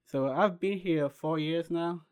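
A young man from Ghana who founded a clothing brand, telling his story unhurriedly as a podcast guest, with pauses and restarts. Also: a little high, darkish tone, clear, resonant tone. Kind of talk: casual interview chat.

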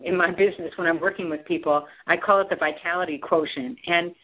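A woman's voice: fairly even intonation, brisk. Emphatic woman on a lo-fi line. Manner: emphatic, enthusiastic, pitch jumps up for emphasis. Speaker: guest. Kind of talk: animated interview talk.

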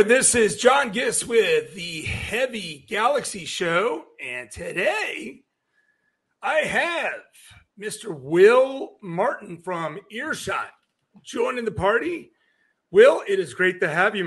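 High, gravelly American male host. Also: very animated, takes it slowly, fairly bright tone. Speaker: show host, interviewer. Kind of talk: casual interview chat.

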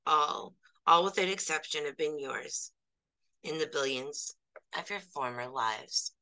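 A woman voicing a calm, wise male spiritual teacher who speaks gently and patiently. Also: low voice, a little slow, rough recording. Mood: reassuring.

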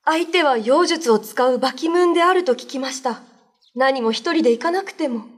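A woman's voice: sits high, expressive, normal speed, voice pushed up. A woman playing the anxious, devoted wife of a prince in a radio drama, politely pleading. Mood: worried, pleading.

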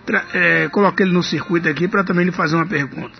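A man's voice: sits high, steady tone, fast, gravelly. A man hosting a radio interview, chatty and full of tag questions. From Minas Gerais, Brazil. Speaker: radio host. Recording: dull radio broadcast.

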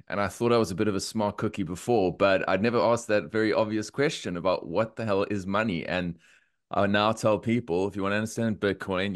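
A man hosting a podcast interview, upbeat and enthusiastic, sniffly from a cold. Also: on the low side. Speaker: podcast host.

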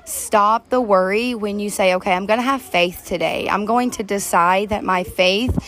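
A woman with a deep voice, co-hosting a faith-based recovery podcast, talking earnestly and heartfelt to listeners, recorded outdoors on a back deck. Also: normal speed, fairly bright tone. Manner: earnest, heartfelt, addresses listeners as "you guys". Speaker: podcast co-host.

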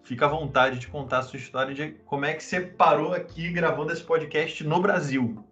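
A man's voice: fairly high pitch, steady tone, a little fast, a bit bright, faint music behind. A man hosting a podcast interview, asking a guest friendly, encouraging questions. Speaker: podcast host.

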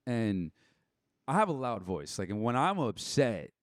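A man's voice: medium pitch, sing-song, measured pace, no room sound, clean studio sound. A young man talking casually and candidly as a podcast guest, blunt and given to swearing, acting out bits of past conversations as he goes. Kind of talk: casual personal chat.

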